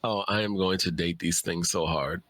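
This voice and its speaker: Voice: low voice, fairly even intonation, moderate pace, crowd noise. A streamer chatting casually, informal and emphatic in the way of talking. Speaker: streamer, host of a guest conversation. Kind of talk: casual chat.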